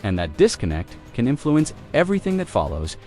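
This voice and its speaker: A man's voice: medium pitch, huge pitch swings, a little fast, mellow, soft background music. A man narrating scripted legal commentary in a polished, dramatic way.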